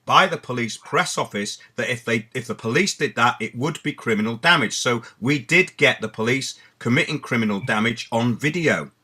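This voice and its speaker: Male interview host, bright forceful voice, assertive, emphatic, medium pitch. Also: normal speed, few pauses. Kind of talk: interview talk.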